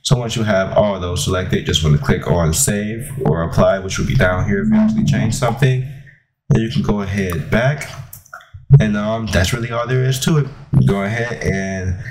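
A man hosting a software tutorial, talking informally and step by step in an instructional way. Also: fairly low pitch, moderate pace, very noisy. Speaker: tutorial host.

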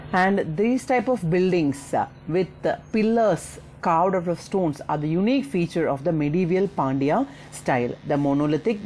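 A woman's voice: lowish voice, a little mellow, slight background noise. A woman with a South Indian accent giving an explanatory school history lesson, partly read out, repeating and rephrasing key points in a didactic way.

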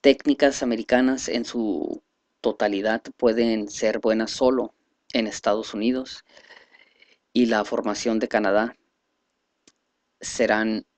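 A man reading a speech aloud in a halting, careful way, stumbling over words now and then. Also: lowish voice, no echo. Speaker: reader presenting a selected speech.

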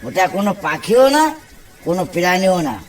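A man's voice: sits high, expressive, fairly quick, background noise. A man voicing a wary, superstitious older fisherman uncle in a cartoon, bossy and given to ominous warnings. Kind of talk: Bengali cartoon horror-comedy dialogue. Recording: distant mic under clear background music.

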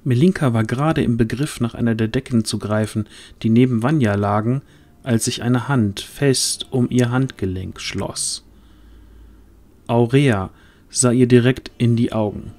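A man's voice: mid-range voice, a little slow, darkish tone. A man reading scripted narration for a fantasy audio drama.

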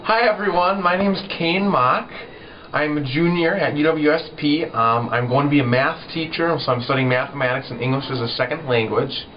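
A man's voice: fairly high pitch, moderate pace. A young man, a college student, casually introducing himself to a camera in a dorm room. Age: young adult. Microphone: camera mic a few feet away. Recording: dull camera audio, faint noise.

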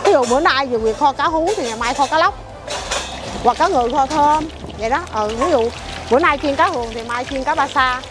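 A woman's voice: high voice, brisk, over music. A middle-aged woman with a Southern Vietnamese accent who runs a market food stall, chatting casually and listing her dishes one after another. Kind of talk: casual interview chat.

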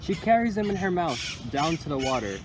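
Lively young man reading a children's story outdoors. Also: a little high, normal speed. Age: young adult. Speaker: county environmental employee reading to children. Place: outdoors under a tree by water. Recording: outdoor recording with loud ambient noise.

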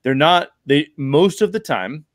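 A man's voice: on the high side, lively intonation, unhurried, dry recording, studio quality. A man in his forties talking casually and emphatically as a podcast host, explaining his view on films. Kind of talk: casual film discussion. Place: bedroom at home. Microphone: large microphone close to the mouth.

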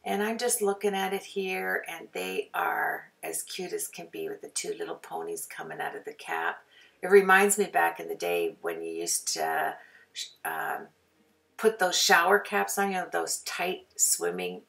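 A woman with a raspy voice from a sore throat, narrating a card-making tutorial as a chatty, rambling voice-over. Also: on the low side, big pitch swings, unhurried, fairly bright tone, far from the mic. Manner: chatty, rambling, with frequent fillers. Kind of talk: casual voice-over for a craft video.

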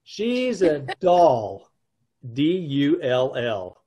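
A man's voice: a little high, big pitch swings, drawn-out words, dark tone, breathy edge, full character voice. A middle-aged man playing a dry, wry, put-upon advertising man and husband in an old-time radio comedy, sometimes stammering. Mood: wry narration. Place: indoors at home on a video call.

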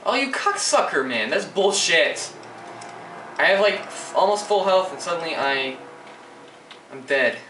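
A man's voice: on the high side, lively intonation, a little slow, long pauses, pushed and bright. Young man cursing through gameplay commentary. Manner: profane, frustrated outbursts at the game. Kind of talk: live gaming commentary. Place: indoors at a computer. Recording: echoey room, distant mic, noisy.

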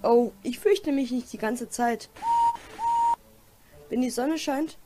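A woman's voice: a little high, slow pace, heavy background noise. Timid, worrying cartoon steam engine voiced by a woman. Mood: nervous, defensive. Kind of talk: animated children's cartoon fan dub. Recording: music under the dialogue.

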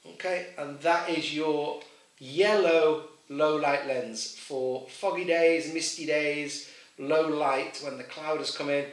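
A man's voice: a little high, fairly even intonation, takes it slowly. A middle-aged man selling ski goggles, walking through the product to camera in a chatty, informal way with many fillers. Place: small indoor room with boxes. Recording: echoey room, mic at a distance.

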